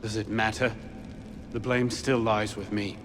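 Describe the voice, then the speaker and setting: A man's voice: fairly low pitch, flat delivery, measured pace, close to an everyday voice. An actor playing a wry young man seeking redemption in a video game, dry yet earnest. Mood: remorseful, resolute. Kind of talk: dramatic video game acting. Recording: long reverb, faint background noise.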